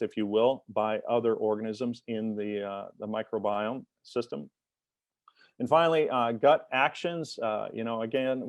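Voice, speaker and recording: A man giving a technical webinar presentation as an invited expert, talking in a halting way with many fillers and restarts. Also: medium pitch, darkish tone, dry recording. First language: English.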